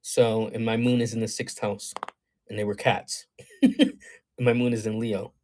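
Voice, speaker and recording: A man's voice: on the low side, little pitch movement, normal speed, warm tone, slight background noise. A man giving astrology readings on a livestream, talking in a thoughtful, wandering way with many fillers, reading viewer comments aloud and reacting to them. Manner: meandering, thoughtful, many ums and you-knows. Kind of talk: livestream talk answering chat.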